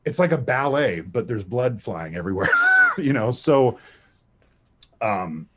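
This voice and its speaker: Animated man telling stories in an interview. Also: medium pitch, measured pace, very noisy. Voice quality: powerful voice. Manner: animated storyteller, acts out quotes. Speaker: voice actor guest. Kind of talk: casual interview chat, storytelling.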